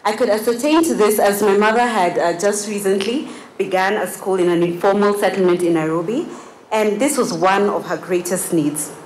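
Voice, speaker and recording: A woman's voice: mid-range voice, moderate pace, a little mellow, roomy sound, a little hiss or hum, rough recording. An eighteen-year-old woman from Kenya whose first language is Kiswahili, giving an earnest prepared speech at a gala dinner, with frequent uh fillers. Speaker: student speaker. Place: large banquet hall at a gala dinner.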